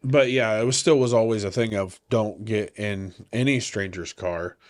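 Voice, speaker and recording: A lower-pitched man chats casually on a couples' podcast, joking and rambling. His voice sounds slightly raspy and stuffy, as if his sinuses are still blocked after a flight. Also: moderate pace. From rural area. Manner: joking, rambling, talks over partner. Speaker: podcast co-host. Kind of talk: casual chat.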